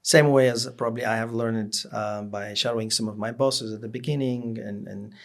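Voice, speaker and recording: A man's voice: on the low side, fairly even intonation, a little fast. A man in his late forties speaking reflectively as an interview guest, searching for his words as he goes. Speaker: interview guest, business executive.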